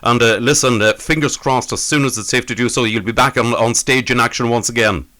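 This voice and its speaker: Male radio host, quick, bright and forceful, halting with fillers. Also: medium pitch, steady tone. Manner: hesitant, many "uh"s, repeats "indeed so". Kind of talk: radio interview.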